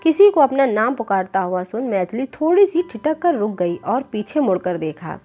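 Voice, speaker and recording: A woman narrates a scripted moral tale in a fairly high voice. Music plays behind her as she tells the story. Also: very animated, moderate pace, dark tone. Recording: clear background music bed.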